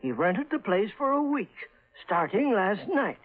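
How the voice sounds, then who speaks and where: A man's voice: sits high, very animated, unhurried, exaggerated voice. An American man playing a folksy, deferential building superintendent with a hesitant, homespun way of talking, in 1950s radio drama. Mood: hesitant, confiding. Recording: tinny, band-limited old radio recording.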